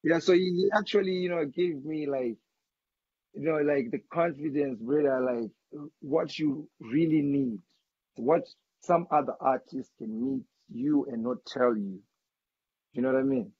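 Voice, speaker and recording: A man from Zimbabwe, a guest artist talking casually in an interview, chatty and quick to repeat himself. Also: a little high, slightly rough. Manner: chatty, repeats words, says bro a lot. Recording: dull lo-fi sound.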